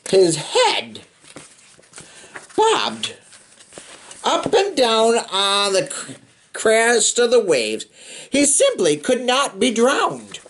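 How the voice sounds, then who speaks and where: A reader performs a picture book for children with a theatrical, playful touch. The pitch swings widely and the pace is slow. The narration mixes careful reading with informal, chatty reactions. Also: wildly swooping pitch, fairly bright tone. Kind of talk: expressive read-aloud with chatty asides.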